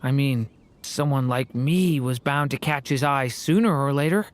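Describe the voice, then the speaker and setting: A man voicing a young, self-assured, courteous male dragon in a video game, with a measured, slightly smug manner. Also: medium pitch, close to an everyday voice.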